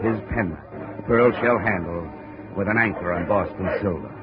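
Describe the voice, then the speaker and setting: Actor as a low-voiced hard-boiled radio detective. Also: male voice, normal speed, over loud music, close to an everyday voice. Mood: tense, grim narrating. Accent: American. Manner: clipped, tough, world-weary delivery. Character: hard-boiled, wisecracking male insurance investigator. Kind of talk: 1950s radio detective drama acting and narration. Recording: noisy, muffled 1950s radio recording.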